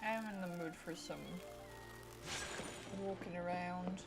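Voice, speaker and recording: A woman's voice: on the low side, steady tone, slow. A woman casually commenting on her game playthrough in a playful, giggly manner. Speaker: streamer. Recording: game music behind the voice. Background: game music.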